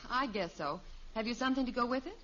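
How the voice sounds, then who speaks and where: An actress playing a patient, sensible mother who gently scolds, in a 1950s radio sitcom. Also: fairly high pitch, lively intonation, quick pace. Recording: muffled, hissy old radio recording.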